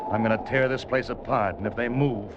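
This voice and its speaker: A man's voice: mid-range voice, fairly even intonation, brisk, background noise, lightly acted. An actor playing a tough, wry agent who tells his own story in clipped hardboiled style in a 1950s radio thriller. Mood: commanding. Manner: clipped, sardonic, hard-boiled. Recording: muffled 1950s radio recording, music behind.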